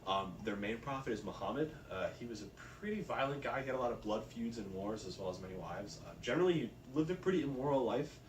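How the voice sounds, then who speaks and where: A man's voice: medium pitch, lively intonation, quick pace, hardly any pauses. A man giving an informal spoken presentation, explaining things in a casual, loosely worded way. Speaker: presenter of a research report. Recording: roomy, distant mic, faint background noise.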